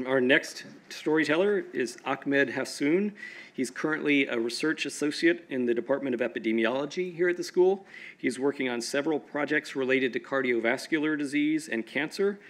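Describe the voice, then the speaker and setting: A man's voice: medium pitch, a bit bright. A man at a podium introducing the next speaker at an event, reading with a few "uh" fillers. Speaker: event emcee. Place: auditorium stage at a podium.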